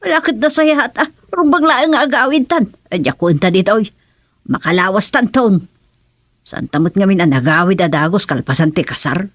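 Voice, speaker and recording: A woman's voice: medium pitch, animated, brisk, no room sound, close to an everyday voice. Curious Ilocano neighbour woman played by an actress, dry sound. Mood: chatty. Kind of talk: Ilocano radio comedy drama acting.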